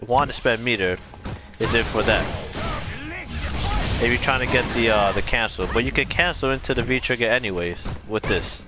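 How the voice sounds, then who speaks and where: A man's voice: medium pitch, moderate pace. A man giving a casual, unscripted gameplay explanation, rambling and correcting himself as he goes. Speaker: video game tutorial creator.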